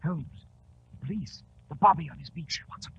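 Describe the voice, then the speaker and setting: An actor playing an earnest, loyal, proper detective's sidekick in a formal, exclamatory 1940s radio drama style. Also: male voice, on the high side, expressive, normal speed, gravelly, fairly bright tone. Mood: hushed, uneasy. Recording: muffled, noisy 1940s radio recording.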